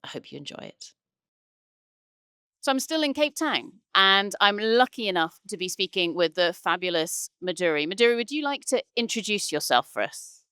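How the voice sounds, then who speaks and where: A woman's voice: medium pitch, sing-song, normal speed, pushed and bright, clear, resonant tone, no room sound, studio quality. A woman hosting a podcast, reading a composed intro and then asking interview questions. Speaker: podcast host.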